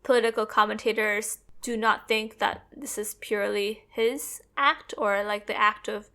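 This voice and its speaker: A woman's voice: medium pitch, fairly even intonation, normal speed, sharp tone. A woman co-hosting a podcast, explaining politics in long, earnest stretches of casual talk. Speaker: podcast co-host.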